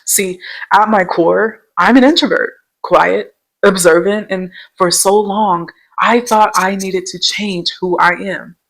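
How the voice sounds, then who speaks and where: A soft-spoken young woman hosting a podcast, telling her own story in a gentle, reflective and earnest way. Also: mid-range voice, takes it slowly, creaky, low-quality recording. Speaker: podcast host. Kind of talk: personal storytelling monologue.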